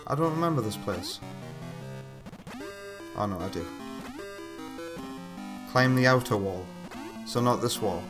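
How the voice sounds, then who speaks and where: A man's voice: on the low side, lively intonation, measured pace, pauses often. A British man from the UK, chatting casually on a live game stream, reading out chat messages and muttering at the game. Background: game music.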